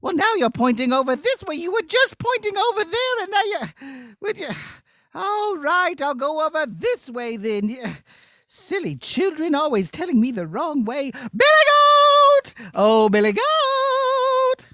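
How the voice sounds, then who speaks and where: Puppet-show princess: young, fussy and prim, high voice with swooping, lively pitch. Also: male voice, a little slow, no echo, studio quality, full character voice. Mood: exasperated. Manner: exclaiming, sing-song calling, prim. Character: chatty, fussy, easily flustered young princess. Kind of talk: children's puppet show acting.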